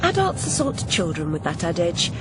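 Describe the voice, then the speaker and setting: An actress playing a troubled, sharp-tongued young woman in a video game, with a wry, precise, brooding manner. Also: fairly low pitch, sing-song, moderate pace, very noisy. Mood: wry, defiant. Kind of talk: video game character acting.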